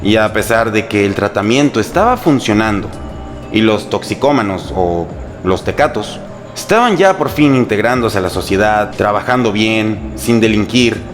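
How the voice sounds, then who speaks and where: A Mexican man with a fairly low voice tells history as a scripted, humorous narration. His delivery is irreverent and colloquial, with a sarcastic edge. Music plays faintly behind him. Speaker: host and narrator. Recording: music bed under the voice. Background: background music.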